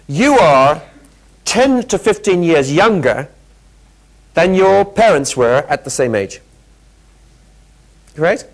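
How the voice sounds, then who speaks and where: A middle-aged British man giving a lively interactive keynote talk, quizzing the audience with quick questions, on a lapel mic in a large hotel conference room. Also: on the high side. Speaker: keynote speaker. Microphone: clip-on lapel mic.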